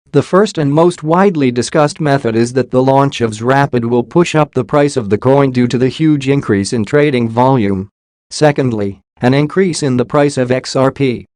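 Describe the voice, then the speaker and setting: A man's voice: mid-range voice, a little mellow, dry recording, studio quality. A man reading a scripted explainer narration in a formal way.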